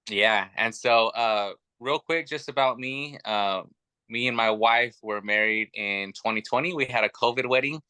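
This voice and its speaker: A man's voice: mid-range voice, normal speed, bright, forceful tone, clean tone, no echo. A man in his thirties, a guest telling his family story in an earnest, chatty way with many fillers. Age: 30s. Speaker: podcast guest. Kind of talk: casual chat.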